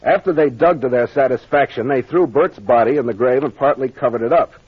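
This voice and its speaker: An American man playing a brisk, confident captain laying out a solved mystery in 1940s radio mystery style, plain-spoken and authoritative. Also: medium pitch, slightly rough, lightly acted. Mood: brisk, explanatory. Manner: expository, authoritative, plain-spoken with gangster slang. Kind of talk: 1940s old-time radio mystery acting. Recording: dull, lo-fi vintage radio recording.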